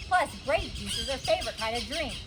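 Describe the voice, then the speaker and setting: Woman, high voice, animated teacher storytime read-aloud, expressive, background noise. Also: a little fast. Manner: animated, acts out the dialogue.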